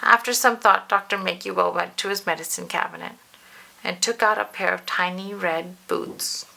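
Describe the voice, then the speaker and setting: A woman reading a children's storybook aloud in an animated way, stretching words for emphasis and addressing the listener. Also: on the low side, normal speed, creaky. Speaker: storybook reader. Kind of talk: expressive children's read-aloud narration.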